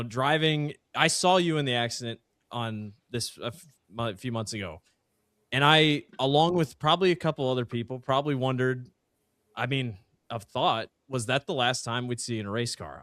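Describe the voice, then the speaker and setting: A man's voice: mid-range voice, fairly bright tone, dry recording, clean studio sound. A man hosting a casual podcast interview, asking long, hesitant questions. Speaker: podcast host.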